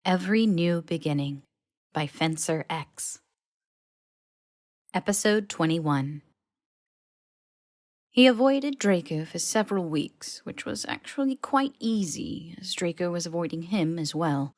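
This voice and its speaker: A woman reading fiction as a scripted audiobook narration, in an expressive, wry storytelling manner. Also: on the low side, a little slow, pauses often, no echo, clean studio sound. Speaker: podfic narrator.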